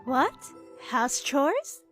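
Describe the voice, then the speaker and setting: An actress plays a kind young woman in an anime-style dub, extremely high-pitched and sweet. Her delivery is gentle and cutesy, turning hesitant and flustered easily, over a music bed. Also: very animated, extremely slow, heavily acted. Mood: embarrassed.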